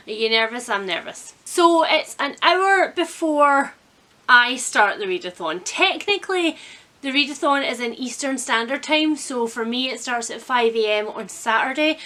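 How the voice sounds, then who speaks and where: A woman with a fairly high, clearly bright voice talks to her vlog audience. She is chatty and expressive, and pokes fun at herself as she goes in a casual, homely style. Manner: chatty, self-deprecating, expressive. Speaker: vlog host. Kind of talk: casual vlog chat about a reading marathon. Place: indoors at home.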